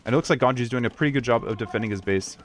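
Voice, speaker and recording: A man's voice: mid-range voice, racing. A man giving casual live commentary on a video game match, analytical and tentative as he thinks aloud.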